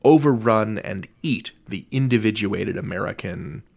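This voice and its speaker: A man's voice: on the low side, unhurried, vocal fry, a little mellow. A man hosting an interview in a casual, analytical style, wry and emphatic, stretching out phrases and dropping in asides. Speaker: podcast host, interviewer.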